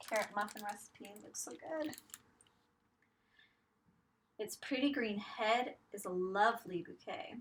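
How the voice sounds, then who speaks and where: A woman teacher reading a picture book aloud to young children, expressive and sing-song, pausing to ask guessing questions. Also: medium pitch, distant mic, a little hiss or hum, low-quality recording.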